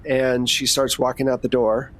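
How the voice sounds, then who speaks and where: A man's voice: mid-range voice, flat delivery, moderate pace, a bit bright, poor audio. A man narrating a tabletop game as game master in a casual, explanatory, conversational way.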